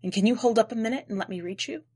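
A woman's voice: a little high, rapid-fire, darkish tone, breathy edge. A woman voicing a bitter, weary wanderer in a story, with declamatory, incantatory delivery. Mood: bitter cursing. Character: bitter, weary wanderer hurling solemn curses.

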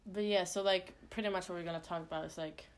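A woman's voice: on the low side, steady tone, quick pace. A young woman from Sweden co-hosting a podcast, chatting casually with lots of fillers and a frank, swearing manner. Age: young adult. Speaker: podcast co-host. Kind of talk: casual chat.

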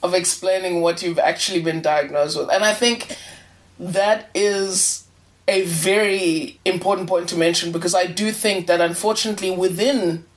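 A woman's voice: lowish voice, fairly even intonation, normal speed. A woman hosting a casual podcast conversation, hesitant, often restarting and repeating words. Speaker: podcast host.

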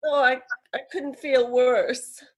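An actress playing an exasperated, sharp-tongued but loyal fiancée who alarms easily, in the style of a vintage radio crime drama. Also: female voice, high voice, steady tone, takes it slowly, dull audio. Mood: uneasy, sardonic. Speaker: actress in a live radio theatre retelling.

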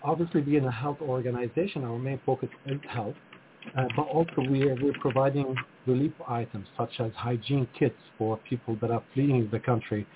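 A man's voice: medium pitch, steady tone, brisk, slight background noise. Earnest man from Lebanon, phone-thin sound. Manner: earnest, frequent uh fillers. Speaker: charity president and guest. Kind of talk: interview answer. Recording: tinny phone-like line with faint ambience.

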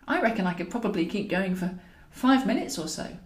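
A woman's voice: medium pitch, expressive, brisk, roomy sound, close to an everyday voice. A woman reading an audiobook, voicing a flirtatious, easily indignant woman with a theatrical, insistent way of talking. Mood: teasing, suggestive. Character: flirtatious, indignant woman pursuing a reluctant man.

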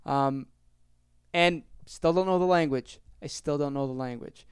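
Chatty Canadian man, smooth dry voice. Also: on the high side, measured pace, no room sound. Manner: chatty, rambling, repeats phrases, many fillers. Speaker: podcast host. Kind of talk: casual solo podcast rambling.

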